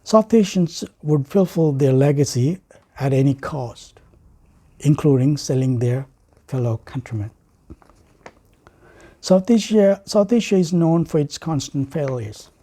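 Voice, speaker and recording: Male orator, Sri Lankan accent, very mellow dark tone, formal and deliberate. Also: a little high, lively intonation. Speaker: writer and speaker. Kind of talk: prepared speech.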